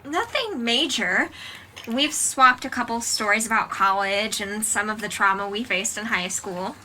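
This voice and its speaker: An actress playing a calm, frank young woman, a tactful friend in an audio drama, speaking in a measured way. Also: medium pitch, slight background noise, poor audio. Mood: guarded, tactful. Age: young adult.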